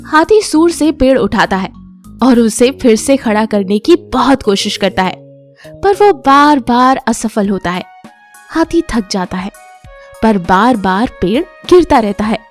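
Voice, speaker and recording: A woman storyteller with a fairly high voice narrates a children's moral tale. She reads from a script, with light music behind her. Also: lively intonation, measured pace.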